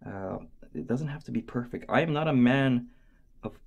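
A man doing a goofy, excitable watch strap review at his desk, talking to himself and dropping into whispers. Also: medium pitch, normal speed, gravelly, breathy edge, dull audio. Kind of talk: casual unboxing review. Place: indoors at a desk.

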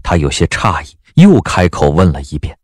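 A man narrating a dramatic audiobook as a measured, expressive storyteller. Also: low voice, no echo, studio quality.

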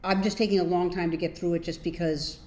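A woman's voice: lowish voice, fairly even intonation, quick pace, darkish tone. A woman, co-hosting a casual podcast chat in an agreeable way, often repeating short affirmations. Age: older, 60s. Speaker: co-host of a mill's video podcast. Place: indoors in a large mill workshop with machinery. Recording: roomy, echoey workshop sound.

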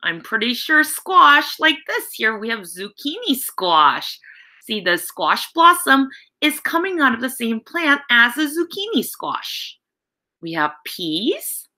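Bright, expressive woman reading to children. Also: a little high. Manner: expressive, explanatory, addressing children directly. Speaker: teacher reading a picture book aloud. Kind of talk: read-aloud lesson for young children. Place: home room with bookshelves. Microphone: video call.

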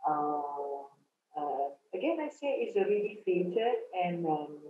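A Malaysian woman in her sixties gives thoughtful, slow answers filled with pauses and fillers. Her voice comes through a thin, phone-like video call line. A roomy echo and a faint hiss sit behind it. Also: on the low side, big pitch swings. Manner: slow and reflective, many fillers. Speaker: guest. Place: room with a leather sofa.

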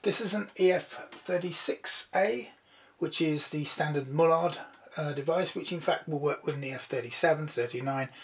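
Male hobbyist presenter, fairly high-pitched, informal explanatory delivery with a meandering, hesitant manner. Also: normal speed. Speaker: presenter, hobbyist radio builder. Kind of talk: informal demonstration narration.

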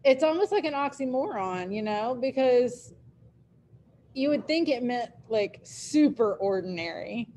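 A woman's voice: fairly high pitch, animated, measured pace, dark tone. A woman in her thirties, a teacher chatting casually with students on a video call, musing aloud and laughing easily. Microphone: laptop webcam, video call.

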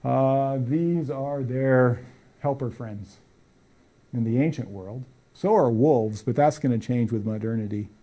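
A middle-aged man lectures to a room, his voice mellow and warm at an ordinary pitch. He talks in a loose, conversational way, full of ums and restarts, gesturing broadly. A lapel mic keeps him close and clear. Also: a little slow. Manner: conversational, many fillers and restarts; gestures broadly while talking. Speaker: college lecturer. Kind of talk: public lecture with slides. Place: lecture room with brick walls.

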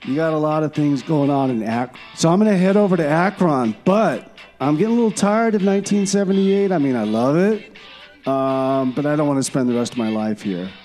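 Man playing mellow, rambling time traveler, music behind. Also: fairly high pitch, lively intonation, a little fast, natural voice. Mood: casual, rambling. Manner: rambling, offhand. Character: laid-back, rambling male time traveler calling in. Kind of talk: casual comedy radio monologue.

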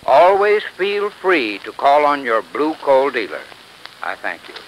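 An American man giving a formal, scripted 1930s radio sponsor pitch. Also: big pitch swings, a little slow. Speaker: advertising spokesman, heating expert. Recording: noisy 1930s radio recording.

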